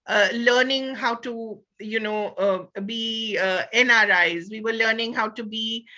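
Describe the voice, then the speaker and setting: A woman's voice: a little high, unhurried, sharp tone, muffled recording. A middle-aged woman with an Indian accent speaking as an author on a literary panel, emphatic and often searching for her words.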